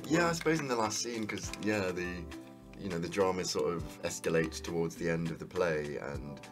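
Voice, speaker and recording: A man's voice: low-pitched, moderate pace, over music. A man, an actor, giving hesitant, understated answers in a casual interview. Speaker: actor being interviewed as cast member.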